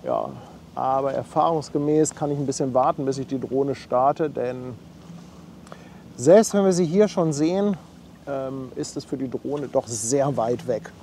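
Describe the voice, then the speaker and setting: Mellow-voiced man hosting a harbor livestream. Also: medium pitch, lively intonation, a little slow, a little hiss or hum. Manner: chatty, thanks viewers and reads out chat. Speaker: livestream host. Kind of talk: casual live commentary. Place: outdoors at a harbor.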